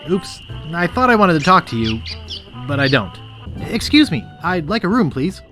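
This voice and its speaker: An actor playing a naive, earnest young would-be pirate in a video game, polite, inquisitive and wry. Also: male voice, high-pitched, very animated, moderate pace, pushed and bright, faint music behind, full character voice. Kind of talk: video game dialogue acting.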